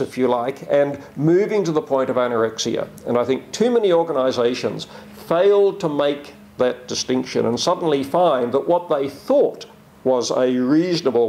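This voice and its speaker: A man from Australia explains his ideas in a measured, steady way, like a consultant making his case. His voice sits in a middle range. He often builds his points up step by step. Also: mid-range voice. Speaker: leadership consultant and researcher. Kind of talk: conversational discussion.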